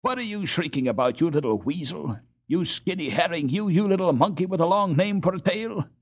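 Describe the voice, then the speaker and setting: A man's voice: fairly high pitch, lively intonation, a little fast, breathy edge, voice pushed up. A man voicing a scornful, bullying teenage boy in a storybook, with a blustering, taunting manner. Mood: angry, taunting.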